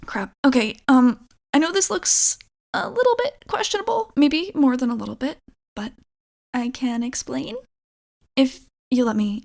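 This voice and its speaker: A flustered young female vampire played by a woman in an ASMR roleplay. Her voice sits fairly high with a marked creak. She rambles haltingly and apologetically, nervous and awkward. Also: a little mellow. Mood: flustered, panicked.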